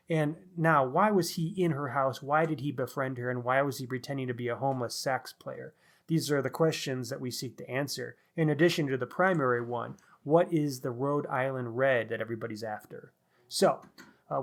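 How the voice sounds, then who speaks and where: A man co-hosting a podcast, talking through a book review. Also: mid-range voice. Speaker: podcast co-host.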